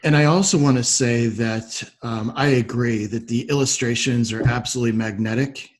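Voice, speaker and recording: Affirming man hosting, mid-pitched voice. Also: steady tone, moderate pace. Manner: affirming, thoughtful. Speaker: podcast host. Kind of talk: conversational interviewing.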